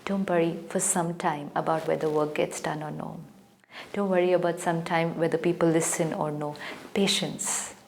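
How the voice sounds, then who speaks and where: A woman with an Indian English accent, calmly explaining spiritual ideas in a gentle, measured way as the teacher on a TV talk show. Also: sits low, creaky, a little hiss or hum. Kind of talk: calm spiritual guidance in a TV talk.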